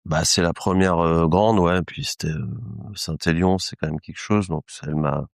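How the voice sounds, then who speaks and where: A man from Lyon with a low voice talks as a podcast guest. He wanders through his answers with hesitations, restarts and casual slang. The recording is dry and close. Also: steady tone, normal speed, no room sound. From Lyon, France. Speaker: illustrator, guest. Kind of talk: podcast interview.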